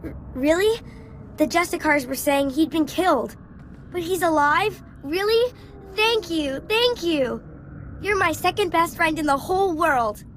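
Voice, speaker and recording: Very high-pitched little girl. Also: animated, measured pace, a bit bright, a little hiss or hum, full character voice. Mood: amazed, grateful. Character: trusting young girl, hopeful and sweet. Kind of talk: video game dialogue.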